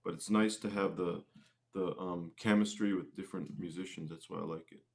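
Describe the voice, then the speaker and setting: A man with a low-pitched voice chats casually as a guest in an interview. He speaks hesitantly, often doubling back to repeat or correct a phrase. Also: steady tone, fairly quick, gravelly. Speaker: guest, singer-songwriter and musician.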